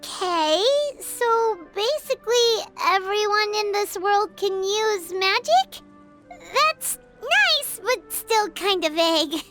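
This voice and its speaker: Game sprite sidekick, tiny and chirpy, very high squeaky voice. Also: female voice, expressive, loud music behind the voice, heavily acted. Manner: chirpy, quick remarks.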